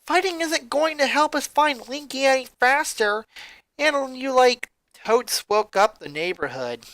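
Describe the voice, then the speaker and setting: An irate, ditzy teenage valley girl from a story, acted out by the narrator. The voice is high, bright and screechy, with slangy phrasing. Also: lively intonation, a little slow, dry recording. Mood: annoyed.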